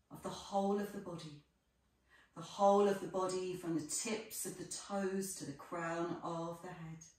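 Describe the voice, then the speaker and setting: Female yoga instructor for guided relaxation: low-ish, very unhurried delivery, gentle phrasing. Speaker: yoga teacher. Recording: echoey room, distant microphone.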